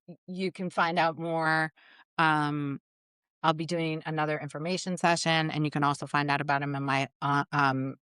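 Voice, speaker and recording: A woman's voice: low-pitched, vocal fry, fairly bright tone, smooth, no room sound, studio quality. A woman from Massachusetts, a guest speaking in an earnest, thoughtful way and restarting her sentences often on a remote call. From Massachusetts, USA. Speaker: guest, retreat leader. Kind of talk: casual conversation.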